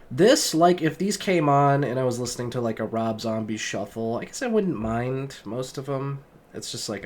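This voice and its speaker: A man's voice: mid-range voice, a little hiss or hum. A young man with a sick, sniffly voice giving a casual, rambling spoken review of an album, full of fillers and restarts. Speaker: music vlogger.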